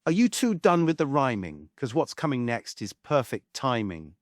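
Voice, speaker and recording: A man's voice: medium pitch, sing-song, moderate pace, dry recording, studio quality, natural voice. A man playing a sardonic, meddling, flamboyant showman in a comic stage play table read. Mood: exasperated, theatrical. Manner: theatrical, wry.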